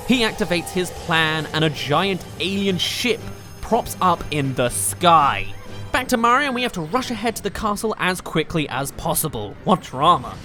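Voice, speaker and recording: A man's voice: on the high side, bright, forceful tone. A man narrating a scripted countdown review as a video host, with an enthusiastic, emphatic delivery. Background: music.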